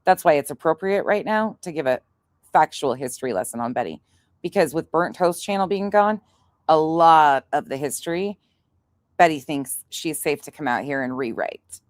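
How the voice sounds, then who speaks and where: Low-voiced, blunt, sweary woman. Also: animated, normal speed, dry recording. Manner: blunt, profane, scornful. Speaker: livestream host commenting on played clips. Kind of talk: casual livestream commentary, chatting with live viewers.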